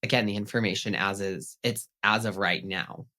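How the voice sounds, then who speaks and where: Bright-voiced chatty man, lowish pitch. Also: steady tone, moderate pace. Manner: chatty and upbeat, casual swearing. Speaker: pet psychic guest. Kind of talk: casual podcast interview.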